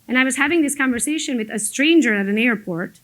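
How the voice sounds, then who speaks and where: A woman's voice: sits high, expressive, quick pace, a bit bright. A woman, speaking as a motivational business coach to a group in a blunt, emphatic, informal storytelling way. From abroad, emigrated to the United States as an adult. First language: not English. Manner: blunt, emphatic, conversational storytelling with mild swearing.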